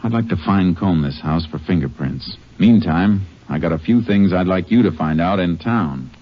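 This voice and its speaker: An American man playing a calm, methodical Texas Ranger in a 1950s radio western, with a terse, matter-of-fact delivery. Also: deep voice, normal speed. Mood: businesslike, deducing. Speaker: lead actor and narrator. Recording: dull old radio recording with music.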